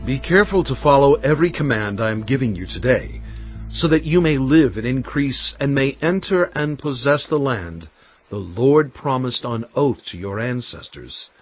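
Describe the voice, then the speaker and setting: An actor playing a solemn, impassioned male prophet in a dramatised scripture reading, speaking with emphatic, oratorical, deliberate phrasing. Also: medium pitch, animated, close to an everyday voice. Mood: solemn exhortation. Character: Moses, solemn, impassioned male prophet exhorting his people. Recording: dull, band-limited audio with music behind.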